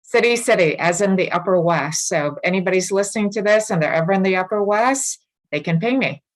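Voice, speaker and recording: A woman's voice: mid-range voice, animated, fairly quick, rough recording. A woman in her forties from Vietnam, an interview guest speaking remotely and telling her story in a reflective, earnest way. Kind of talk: casual interview. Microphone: remote call.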